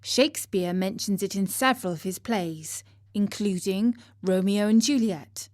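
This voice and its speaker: A woman reading a scripted story as narrator, in a measured and expressive manner. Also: mid-range voice, no room sound, studio quality.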